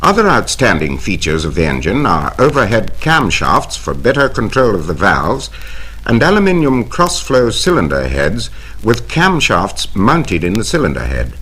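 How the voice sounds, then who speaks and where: A British man narrates a 1970 instructional soundtrack from a vinyl record. His voice is fairly low and rough-edged, with very animated rises and falls in pitch. He explains things formally and precisely, in measured scripted phrases. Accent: British English. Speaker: training film narrator.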